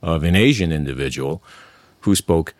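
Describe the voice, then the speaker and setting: Very deep-voiced man, dry sound. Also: moderate pace, no room sound. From New York City. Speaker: interview guest, amateur actor. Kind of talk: conversational interview.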